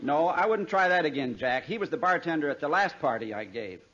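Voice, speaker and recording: An actor playing a polite, earnest band arranger with a husky voice in 1940s radio comedy style. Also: male voice, on the high side, animated, fairly quick, fairly bright tone. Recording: dull vintage radio recording.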